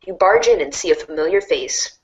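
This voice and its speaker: A woman's voice: fairly low pitch, lively intonation, fairly quick, darkish tone, a little hiss or hum, low-quality recording. A woman narrator reading a dramatic storybook-style script.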